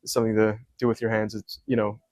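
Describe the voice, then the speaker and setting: A young man from Scotland speaks in a soft, level tone that barely rises or falls. He explains his craft at length, filling pauses with ums. The sound is dry and close. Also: on the low side, flat delivery, no echo. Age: young adult. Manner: soft-spoken, many ums, detailed explanations. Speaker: hat maker. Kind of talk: casual interview.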